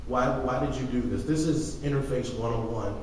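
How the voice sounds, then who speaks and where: A man's voice: mid-range voice, brisk. A young man co-hosting a casual gaming podcast, ranting emphatically with sarcasm and some swearing. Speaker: podcast co-host. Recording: echoey hall, distant mic, light noise.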